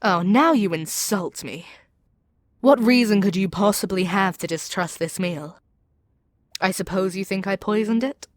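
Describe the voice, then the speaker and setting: A woman's voice: mid-range voice, a little slow, no room sound, lightly acted. A woman playing a proud, seductive demon queen in an ASMR audio roleplay, speaking in an imperious, persuasive, theatrical way. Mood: commanding, taunting. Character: proud, seductive demon queen brainwashing her captive hero.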